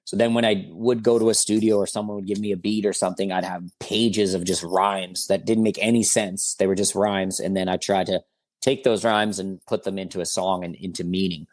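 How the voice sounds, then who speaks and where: Deep-voiced rapper guy in his 40s, chill and rambly, lots of "you know what i mean", dry sound. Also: steady tone, fairly quick, no room sound. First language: German or Italian. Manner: laid-back, rambling with fillers, says "bro" and "you know what I mean". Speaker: rapper guest. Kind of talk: casual interview chat.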